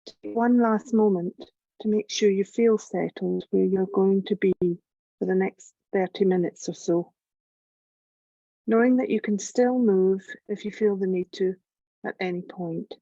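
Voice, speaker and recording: A woman leading a guided yoga nidra meditation in a gentle, calm, measured voice with long pauses. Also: medium pitch, vocal fry, no room sound, muffled recording. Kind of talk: slow guided relaxation meditation narration.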